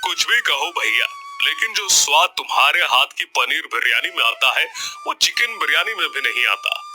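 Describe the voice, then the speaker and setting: High, lively village customer. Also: male voice, moderate pace, music in the background. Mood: admiring. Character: ordinary male villager, friendly plain-spoken regular customer. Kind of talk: Hindi cartoon story voice acting.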